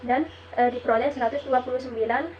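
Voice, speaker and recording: A young woman from Indonesia reads out a math solution quickly, stopping on "eh" now and then. Her voice stays on a flat, narrow pitch. The recording sounds dull and lo-fi, with a faint hiss behind her. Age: young adult. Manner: steady reading with frequent "eh" fillers. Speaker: student presenter.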